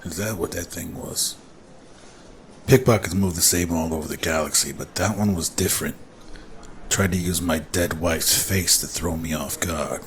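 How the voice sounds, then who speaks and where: A man's voice: low voice, normal speed, a little hiss or hum. A man playing a dry, hard-bitten, old-fashioned space traveler in an audio drama. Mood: grim, wary.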